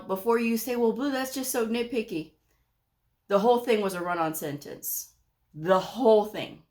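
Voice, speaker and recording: A middle-aged woman hosting an advice vlog, talking frankly and emphatically, scolding at times. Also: medium pitch. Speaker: medical coding vlogger.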